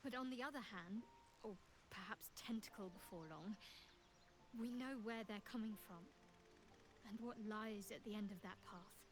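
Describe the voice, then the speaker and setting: An actress playing a guarded, wry and reluctant adventuring companion in a video game, articulate and measured. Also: female voice, medium pitch, close to an everyday voice. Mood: wary. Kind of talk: video game character acting.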